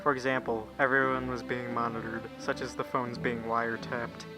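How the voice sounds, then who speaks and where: Male narrator, mid-pitched, scripted educational explainer delivery, music underneath. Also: flat delivery, moderate pace. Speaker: narrator of a puppet show.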